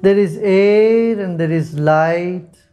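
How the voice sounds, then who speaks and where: Male meditation guide: unhurried and soft-spoken, warm and mellow, with light instrumental music behind. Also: high-pitched, clean tone. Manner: slow, soft, calm instructions with long pauses.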